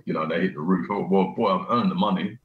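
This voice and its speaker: A middle-aged man with a very low, deep bass voice talks casually and eagerly, often repeating his phrases. The sound comes through a video call and is roomy and slightly dull. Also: quick pace. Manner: repeats himself, laughs often, enthusiastic. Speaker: venue owner, guest. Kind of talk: casual chat. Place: small room indoors. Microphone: video call webcam.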